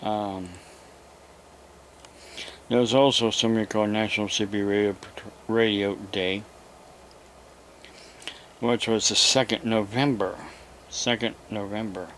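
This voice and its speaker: An American man hosting an informal, unscripted hobby vlog, talking in a halting, rambling way with long pauses. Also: fairly low pitch, steady tone, fairly bright tone, a little hiss or hum. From United States. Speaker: YouTube radio hobby host.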